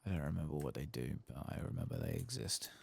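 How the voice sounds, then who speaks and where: A man softly narrating his video game in ASMR style, tired and casual, muttering to himself and drifting into whispers. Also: a bass voice, big pitch swings, fast, vocal fry. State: tired, late at night. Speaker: host of a game playthrough. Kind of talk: soft-spoken ASMR gameplay commentary. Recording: faint background noise.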